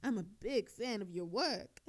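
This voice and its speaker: A woman's voice: medium pitch, expressive, measured pace. A woman playing an obnoxiously flirtatious, sarcastic female thief in a scripted audio roleplay, teasing coyly and theatrically. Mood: smug, teasing.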